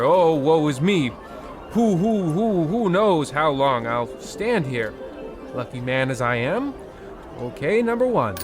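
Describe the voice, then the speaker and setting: A man playing a pompous, excitable husband in a mock old-time radio comedy, theatrical and stammering when flustered. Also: a little high. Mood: mock woeful, then frantic, exasperated. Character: Percival, pompous, excitable husband with a gambling weakness.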